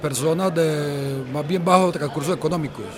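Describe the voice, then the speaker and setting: Colombian guy in an interview, talks fast, slightly high voice, remembering stuff, music behind. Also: a crowd in the background. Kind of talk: spoken recollection.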